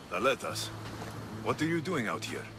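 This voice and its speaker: A man's voice: medium pitch, sing-song, normal speed, background noise, close to an everyday voice. A man playing a confident, teasing Greek mercenary hero in a cinematic video game, with a wry, casual manner. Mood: assured, direct.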